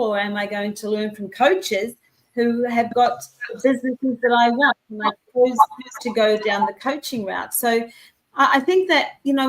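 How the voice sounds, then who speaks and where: A woman's voice: on the high side, poor audio. Confident New woman coach. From New Zealand. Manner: energetic, self-assured, talks in long runs with quick restarts. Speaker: wellness and business coach. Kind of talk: conversational interview.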